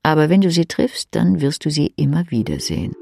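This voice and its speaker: A man reading intimate second-person literary narration as an audiobook narrator, with a husky, creaky voice. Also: fairly high pitch, very animated, a little fast, a little mellow, a little hiss or hum.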